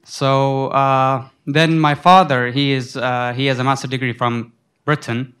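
A man's voice: mid-range voice, fairly even intonation, measured pace, a bit bright, clear, resonant tone. A young man from Afghanistan, a student on a panel, telling a long rambling story full of restarts. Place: college library.

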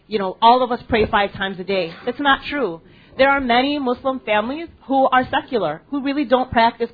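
A young American woman speaking to a student audience at a panel event, asking them questions and pausing for ums and restarts. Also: medium pitch. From United States. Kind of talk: public talk with audience interaction. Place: library event space. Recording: tinny phone-like recording.

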